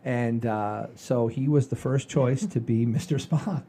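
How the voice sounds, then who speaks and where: An older man hosting a podcast, telling stories in a folksy, rambling, animated way with a husky voice. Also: medium pitch, a little slow, a little hiss or hum. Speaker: podcast host. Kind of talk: casual chat and storytelling.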